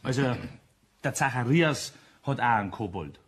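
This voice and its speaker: Husky, sly Bavarian tradesman. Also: medium pitch, lively intonation, normal speed, fairly bright tone. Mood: feigned reluctance. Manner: hesitant, chuckling, folksy. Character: sly, chummy Bavarian locksmith spinning a tale. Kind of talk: German audio play acting.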